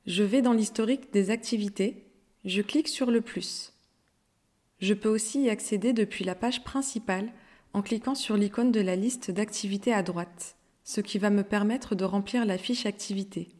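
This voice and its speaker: A woman narrating a scripted software tutorial in an instructional, step-by-step way. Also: mid-range voice, normal speed.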